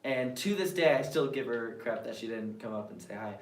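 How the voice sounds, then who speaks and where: A man's voice: medium pitch, expressive, a little fast. A man of about twenty-one with an American accent, a drummer chatting casually on a podcast in a rambling, story-telling way. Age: 20s. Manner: chatty, rambling, quotes people. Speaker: band drummer, guest. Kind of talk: casual chat. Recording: roomy, distant mic.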